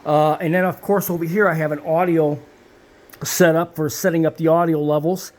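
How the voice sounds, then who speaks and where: A man with a somewhat high voice talks through technical gear in a casual way. He rambles along with hands-on enthusiasm, explaining as he goes like a technician at his own bench. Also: fairly even intonation, moderate pace. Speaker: video transfer technician showing equipment. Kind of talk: casual equipment walkthrough.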